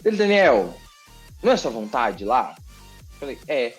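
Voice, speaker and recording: A man with a Brazilian accent, a TV journalist chatting as a podcast guest, telling a story in an animated, expressive way and repeating words for emphasis. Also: medium pitch, unhurried, faint music behind. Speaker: TV journalist and presenter, interview guest. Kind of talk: casual podcast interview storytelling.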